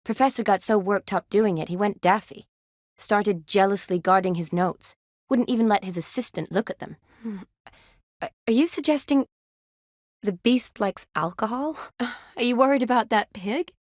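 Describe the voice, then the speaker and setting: An actress playing a kind, spirited young woman medic in a video game, teasing and wistful, speaking in an expressive, conversational way. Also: mid-range voice, moderate pace, dry recording, studio quality, lightly acted. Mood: inquisitive. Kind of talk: video game dialogue acting.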